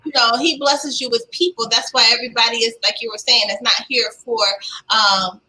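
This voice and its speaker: A woman hosting a live online chat show, talking in an emphatic, lively way. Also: fairly high pitch, fairly quick, poor audio. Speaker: talk show host.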